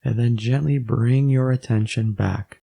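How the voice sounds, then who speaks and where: Male meditation guide, mellow tone, creaky fry, gentle, measured phrasing, frequent pauses. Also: mid-range voice.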